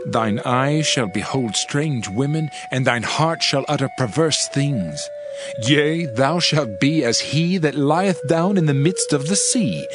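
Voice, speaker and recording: A man giving a dramatized Bible reading in a solemn, emphatic, oratorical manner. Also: medium pitch. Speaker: scripture reader. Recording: music behind the voice. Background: music.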